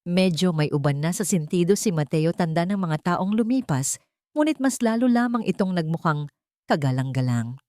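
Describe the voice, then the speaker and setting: A woman narrates a romance story from a script. Her voice sits a little low for a woman, and the recording is dry and close, with very little room sound. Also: lively intonation, moderate pace, a little mellow, dry recording.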